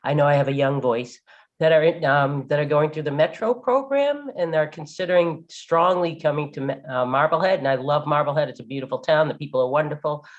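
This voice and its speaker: A woman's voice: deep voice, fairly quick. Older woman, grandmother, flustered, apologetic, rambling, muffled call audio. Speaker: caller at a public meeting. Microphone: video call without camera.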